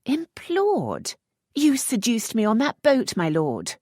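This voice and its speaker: A woman narrator voicing an impetuous, sharp-tongued young lady in a Regency audiobook, in a raised, bright voice. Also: fairly high pitch, big pitch swings, moderate pace, husky, dry recording, clean studio sound, voice pushed up. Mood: indignant.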